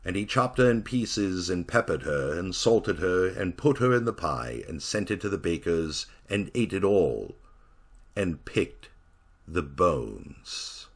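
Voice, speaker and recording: A man narrating a dark tale in a theatrical, expressive storytelling reading with grim relish. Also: deep voice, takes it slowly, breathy edge.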